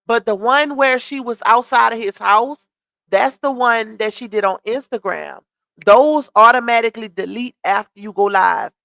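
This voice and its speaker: A woman's voice: a little high, moderate pace, creaky, a bit bright, no echo. Chatty woman hosting a gossip live stream. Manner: chatty, reads out comments and greets viewers. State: losing her voice.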